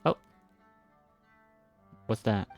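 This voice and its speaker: A man's voice: drawn-out words, faint music behind. A man giving casual playthrough commentary in short, murmured reactions, often repeating words, into a close microphone. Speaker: gaming video maker.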